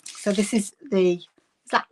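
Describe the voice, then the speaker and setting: A woman's voice: mid-range voice, fairly even intonation, a little slow, slight background noise. A woman with a Bristolian accent chats her way through a live crafting session. She giggles often and greets her viewers by name. She is recorded on a phone set on her desk at home. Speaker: craft livestream host. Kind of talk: casual live craft chat. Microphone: phone camera on desk.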